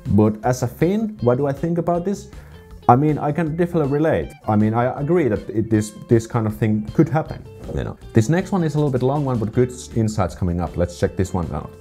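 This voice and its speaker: A man's voice: mid-range voice, expressive, a little fast, warm tone, faint music behind. A man in his thirties with a Finnish accent, reading viewer comments aloud and reacting to them in a video, often restarting his sentences. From Finland. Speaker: vlogger. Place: small room indoors. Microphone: desk condenser mic.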